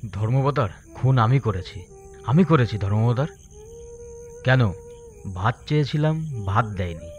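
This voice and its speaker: A man's voice: medium pitch, a bit bright. Male storyteller over faint music. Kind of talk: scripted dramatic story narration.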